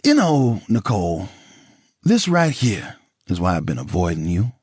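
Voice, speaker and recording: A man's voice: deep voice, huge pitch swings, measured pace, a little mellow, husky, no room sound, clean studio sound, exaggerated voice. A man reading an audiobook, voicing a blunt, exasperated ex-husband in a raised voice. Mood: weary. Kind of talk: audiobook character dialogue.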